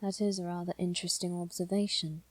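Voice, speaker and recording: A woman voicing a gentle, formal male demon who is devoted and possessive, speaking softly and deliberately in a scripted ASMR roleplay. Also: on the low side, little pitch movement, no echo, natural voice. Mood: gentle, explaining. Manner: soft, measured, deliberate, sometimes near whisper.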